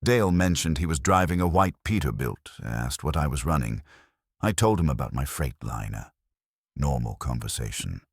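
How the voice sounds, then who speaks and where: A man with an extremely low voice narrates horror in the first person. His delivery is grave and suspenseful, yet the intonation moves a good deal. The sound is dry and close, with a slightly rough, unpolished edge. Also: animated, moderate pace, dry recording. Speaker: narrator of horror stories, posing as a trucker.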